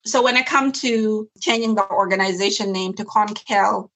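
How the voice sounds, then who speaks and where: A woman's voice: medium pitch, moderate pace, a bit bright, rough recording. A woman talks in an interview about the nonprofit she founded. She speaks earnestly and reflectively, often restarting a phrase before she goes on. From South Sudan. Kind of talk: interview conversation.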